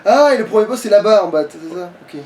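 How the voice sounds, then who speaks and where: A man's voice: sits high, animated, fast talker, darkish tone. A man chatting casually as he plays a game, sweary, grumbling and sarcastic. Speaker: gamer playing on a let's play. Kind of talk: casual gameplay chat. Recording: distant mic, background noise.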